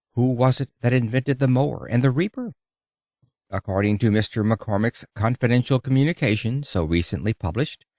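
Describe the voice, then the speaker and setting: Expressive male audiobook reader, widely swinging pitch. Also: no room sound, clean studio sound. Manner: theatrical, emphatic delivery with dramatic pauses and rhetorical questions. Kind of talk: audiobook reading of an oratorical public lecture.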